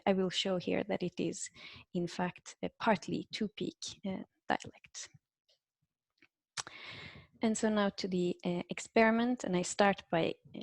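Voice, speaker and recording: A woman's voice: lowish voice, gravelly, no room sound. Woman giving an academic talk. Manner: measured, frequent "uh" fillers, explanatory. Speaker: linguistics researcher presenting a talk.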